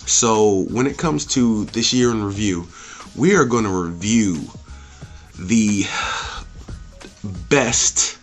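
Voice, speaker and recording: A man's voice: lowish voice, expressive, takes it slowly, pauses often, soft background music. A man hosting an opinionated review rant, speaking in an emphatic, blunt, profane way and repeating phrases for punch. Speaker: video game movie reviewer and channel host.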